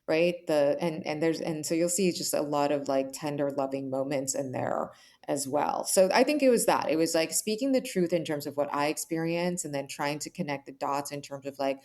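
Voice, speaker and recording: A woman's voice: deep voice, moderate pace, a little mellow. A woman giving earnest, reflective interview answers in a conversational way, often saying "like" and checking in with "right?". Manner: earnest, explanatory, frequent "like" and "right?". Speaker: author, interview guest.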